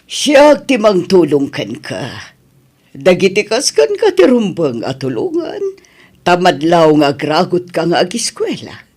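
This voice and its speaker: A woman acting a husky, animated Ilocano mother. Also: lowish voice, a little slow, full character voice. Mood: tender, encouraging. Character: caring, encouraging middle-aged mother of grown children. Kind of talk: Ilocano radio drama acting.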